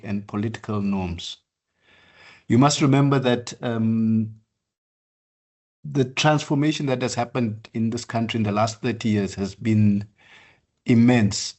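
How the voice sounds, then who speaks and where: Halting older man giving a lecture. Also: mid-range voice. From southern Africa. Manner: measured, halting, many fillers and restarts. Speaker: architect lecturer. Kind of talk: online practice lecture.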